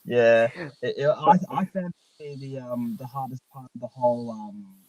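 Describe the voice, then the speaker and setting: A young man hosting a casual live stream chat in a halting, hesitant way. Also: medium pitch, no room sound, poor audio. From Australia. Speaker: live stream host and fan-dub project leader.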